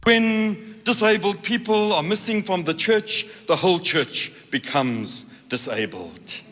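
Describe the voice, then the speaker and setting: A man with a bright, fairly high voice gives an emphatic, rhetorical speech at a church conference. His voice rings in a reverberant hall. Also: expressive, slow pace. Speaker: church speaker.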